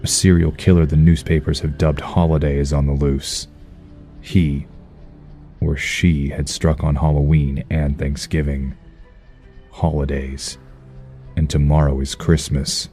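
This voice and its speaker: An actor playing a brooding, stern vigilante detective, narrating noir style in a raspy, terse, measured voice. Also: male voice, rumbling low, little pitch movement, mellow, soft background music. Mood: grim, brooding. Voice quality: husky, raspy.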